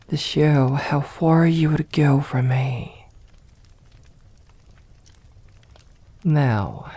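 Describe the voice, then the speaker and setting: A woman voicing a haughty, seductive, possessive vampire mistress in an ASMR roleplay, speaking softly in formal, condescending phrases, often close to a whisper. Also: low voice, heavily acted. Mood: teasing, possessive. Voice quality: breathy, soft, often whispered. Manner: slow, deliberate, condescending, formal old-fashioned diction. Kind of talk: ASMR roleplay, scripted acting.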